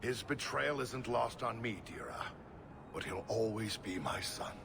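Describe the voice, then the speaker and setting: An actor playing a solemn, grieving older merchant father in a video game, with a gravelly voice. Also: fairly low pitch, unhurried. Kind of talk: video game cutscene acting. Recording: noisy ambience behind the voice.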